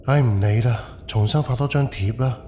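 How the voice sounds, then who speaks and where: A man's voice: medium pitch, normal speed, warm tone, a little hiss or hum, natural voice. A man playing an exasperated, colloquial character on a ghost forum in an audio drama, with a Cantonese accent. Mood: fretful, pleading. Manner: colloquial, exclamatory.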